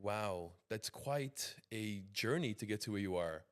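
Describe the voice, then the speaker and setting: A man's voice: lowish voice, animated, measured pace, clean tone. A man hosting a podcast, interviewing in a casual, chatty style.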